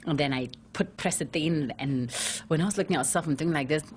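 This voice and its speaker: Deep-voiced woman recounting her life story. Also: fast talker, darkish tone, a little hiss or hum. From South Africa. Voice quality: deep, low voice. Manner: candid storytelling, many "you know" fillers. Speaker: interviewee, fashion model. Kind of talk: casual interview.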